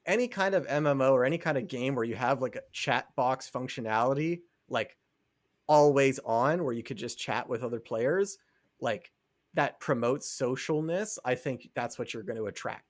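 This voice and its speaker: A man's voice: medium pitch, lively intonation, normal speed, no room sound, studio quality. A man co-hosting a podcast in casual chat, talking in a rambling way peppered with "like". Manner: rambling, filler-heavy conversational delivery with frequent "like". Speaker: podcast co-host.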